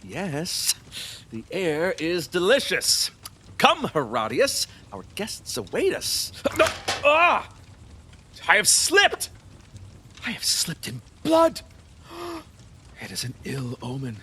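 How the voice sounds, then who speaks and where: A man's voice: high-pitched, huge pitch swings, slow, long pauses, pushed and bright, a little hiss or hum, exaggerated voice. An actor playing a decadent, superstitious, capricious king in a theatrical audio drama, volatile in manner. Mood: imperious, indulgent, then alarmed. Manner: volatile, swooping between musing and alarmed exclamation.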